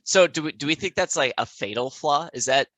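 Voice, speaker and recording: A man's voice: a little high, expressive, fairly quick, sharp tone, no echo. A man co-hosting a podcast in a casual, conversational book discussion. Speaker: podcast co-host.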